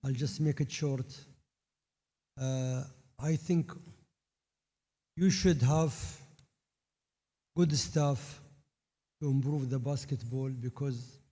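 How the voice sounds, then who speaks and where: Man, meeting chair, slow halting delivery, mellow voice, reverberant hall. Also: mid-range voice, steady tone. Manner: halting, restarts words, plain phrasing. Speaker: congress chair. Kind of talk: formal meeting address. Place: large conference hall. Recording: long reverb of a large hall.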